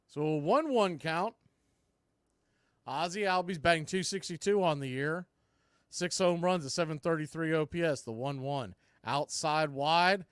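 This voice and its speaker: A man doing live baseball play-by-play for a fan stream, mixing clipped pitch calls with casual, partisan asides. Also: clean tone, no room sound, studio quality.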